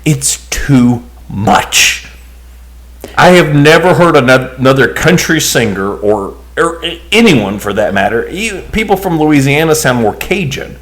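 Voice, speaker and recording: A man's voice: mid-range voice, animated, measured pace, fairly bright tone. Opinionated man with a Southern accent. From Southern US, Alabama and Tennessee. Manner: opinionated, ranting, with frequent restarts. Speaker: podcast co-host. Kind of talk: casual chat.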